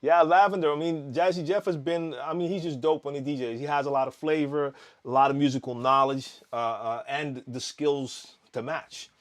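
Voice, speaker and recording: Relaxed man chatting on a live stream. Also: fairly high pitch, moderate pace. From Netherlands. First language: Dutch. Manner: relaxed, chatty, reading viewer comments aloud with frequent fillers and restarts. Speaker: DJ hosting a live Q&A.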